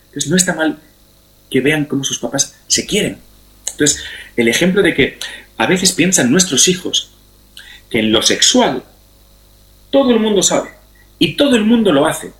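A man's voice: a little high, fairly bright tone. Middle-aged Spanish man in an echoey room. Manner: didactic, repeats phrases for emphasis. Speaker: guest speaker on family and sex education. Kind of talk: conversational explanatory interview talk. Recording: roomy, echoey sound.

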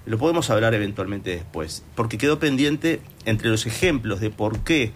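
A man's voice: fairly low pitch, expressive, moderate pace. A man hosting a casual radio interview, talking in long rambling runs with fillers. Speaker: radio host. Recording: faint background noise.

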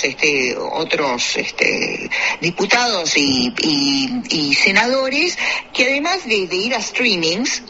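A man's voice: high-pitched, bright, forceful tone, lo-fi sound, a little hiss or hum. A man with a Uruguayan Spanish accent chatting casually on a radio show, rambling with many fillers and restarts. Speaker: radio co-host. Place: radio studio.

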